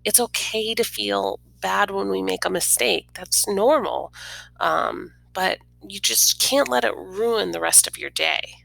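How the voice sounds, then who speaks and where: A woman reading a children's picture book aloud expressively, pausing to explain things simply and informally to young listeners. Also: medium pitch, expressive, creaky, fairly bright tone, no echo, poor audio. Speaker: read-aloud storyteller.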